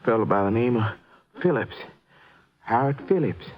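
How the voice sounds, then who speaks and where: An actor playing a plainspoken, folksy rancher in a vintage radio drama. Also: male voice, mid-range voice, animated, moderate pace, lightly acted. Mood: plain, dismissive. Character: injured male rancher, plainspoken and dismissive. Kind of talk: 1950s radio acting. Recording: dull vintage radio recording.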